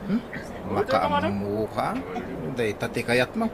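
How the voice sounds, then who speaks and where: A man playing a teasing married workmate in an Ilocano radio comedy drama, with an Ilocano accent and drawn-out interjections. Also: mid-range voice, big pitch swings, vocal fry, exaggerated voice. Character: teasing, married male workmate and drinking buddy. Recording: very noisy, cooing birds behind.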